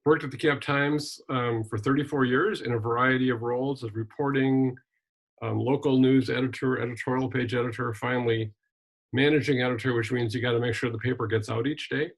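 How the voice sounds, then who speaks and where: An older man from Wisconsin, a retired minister and journalist, telling his life story in a relaxed interview with frequent ums and restarts. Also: medium pitch, fairly quick, rough recording. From Wisconsin, USA. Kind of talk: casual conversational interview.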